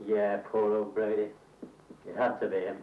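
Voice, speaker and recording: An actor playing a defensive soldier in a 1970s army training film. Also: male voice, on the low side, flat delivery, moderate pace, a little hiss or hum, lightly acted. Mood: resigned. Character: defensive soldier denying guilt among comrades. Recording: echoey, distant, muffled old film soundtrack.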